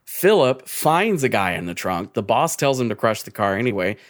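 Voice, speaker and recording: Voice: medium pitch, very animated, normal speed, dry recording, clean studio sound. A podcast co-host chatting casually, with a jokey, sweary, informal storytelling manner. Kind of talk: casual chat, ranking horror game killers.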